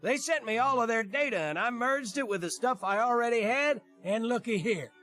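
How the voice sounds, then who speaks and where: A cocky, blustery engineer for a video game. The voice is high and forceful, brash and folksy, with music underneath. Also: male voice, normal speed. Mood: brash, boastful. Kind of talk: animated game acting. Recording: music behind the voice.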